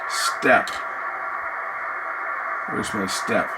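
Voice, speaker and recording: A man giving a casual hands-on gadget demonstration, musing aloud as he tries things out. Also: extremely slow. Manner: musing aloud while trying controls. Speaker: product reviewer. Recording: noisy, radio static and ambience. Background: radio noise.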